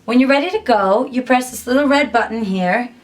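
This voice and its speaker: A woman's voice: on the high side, a little fast, low-quality recording. A young woman giving a casual, upbeat tutorial to camera from a small home music studio, chatty and full of "like". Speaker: musician and YouTuber.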